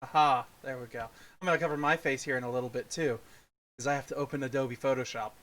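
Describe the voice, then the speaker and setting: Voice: mid-range voice, steady tone, fast talker, fairly bright tone. A podcast host chatting casually about films on a livestream, in a teasing, bossy way.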